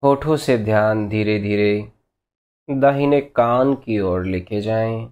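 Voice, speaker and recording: Slow, low-voiced man guiding meditation. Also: clear, resonant tone, highs cut off. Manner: gentle unhurried instructing, short phrases with long pauses. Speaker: meditation teacher. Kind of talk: guided meditation instruction.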